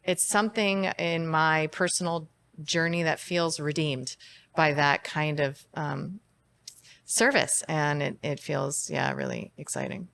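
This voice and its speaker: A woman's voice: sits low, unhurried, creaky, a bit bright. A middle-aged woman interviewed as a guest psychiatrist, speaking in a candid, reflective way and laughing easily. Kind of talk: interview conversation.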